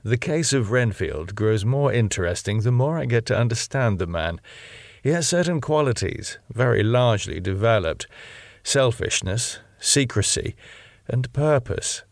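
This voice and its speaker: Male literary narrator, gravelly edge, measured and expressive, recorded close and dry. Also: medium pitch, dry recording. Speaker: audiobook narrator.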